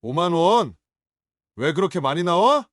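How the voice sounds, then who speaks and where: Man acting as a drunk, scornful son, curt demands, high-pitched voice. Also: sing-song, unhurried, no room sound, studio quality. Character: drunk, contemptuous adult son. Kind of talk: audio drama acting.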